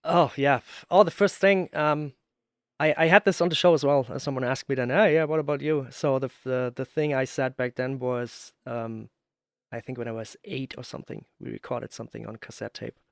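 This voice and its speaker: Man, podcast host, average pitch, casual conversation, searching phrasing, very dry sound. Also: fairly even intonation, moderate pace, vocal fry, dry recording. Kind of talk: casual interview chat.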